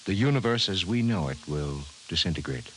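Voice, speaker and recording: An actor playing a grave, precise professor in 1950s radio drama style, formal and measured. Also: male voice, sits low, expressive, a bit bright. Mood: grave, certain. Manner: measured, formal, emphatic delivery. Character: grave, precise male scientist, a government professor. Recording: hissy 1950s radio recording.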